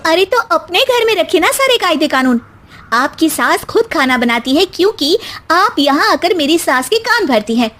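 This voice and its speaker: Woman acting young daughter-in-law, high pitch, animated, courteous, assertive, storybook character. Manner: polite but firm.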